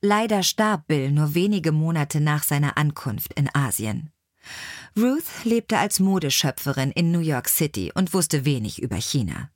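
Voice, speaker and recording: Lower-pitched woman narrator, dry studio sound. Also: very animated, unhurried, dry recording. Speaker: audiobook narrator. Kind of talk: scripted storybook narration.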